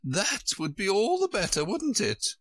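A man voicing a flighty, imperious older queen from a storybook in a shrill, squeaky, swooping voice. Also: wildly swooping pitch, a little slow, a bit bright, breathy edge, no echo, clean studio sound, exaggerated voice. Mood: gleeful, insistent. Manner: emphatic, swooping, exclaiming.

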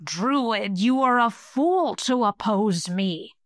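A woman's voice: a little high, expressive, slow pace, dry recording, clean studio sound. A woman voicing a hissing skull-bearer monster. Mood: scornful. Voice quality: hissing, rasping.

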